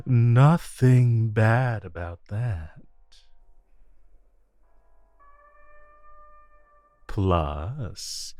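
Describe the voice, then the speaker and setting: Man acting a low-voiced, flirty, smug supervillain. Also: dark tone, no echo. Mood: pleading, persuasive. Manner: teasing, coaxing, drawn-out phrasing. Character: flirtatious, smug infamous supervillain, a teasing regular customer. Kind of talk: romantic audio roleplay, addressed to listener.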